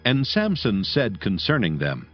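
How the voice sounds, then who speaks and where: A man narrates a dramatized scripture reading with solemn, measured phrasing. His fairly low voice moves in lively inflection, with faint music behind a slightly dull recording.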